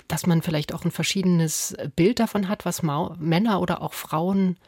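Woman interviewer with a lowish, dry-recorded voice. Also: steady tone, normal speed, darkish tone, breathy edge, dry recording. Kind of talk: conversational interview questions.

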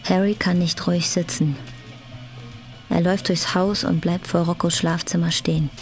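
A woman's voice: fairly low pitch, fairly even intonation, normal speed. A woman reading scripted literary narration as the narrator of a radio drama. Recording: faint music behind.

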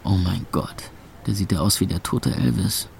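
A man's voice: sits low, normal speed, vocal fry, darkish tone, a little hiss or hum. An actor playing a gloomy, sardonic club owner in his twenties, narrating a radio drama in the first person. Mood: wry. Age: 20s. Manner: wry, sardonic. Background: music.

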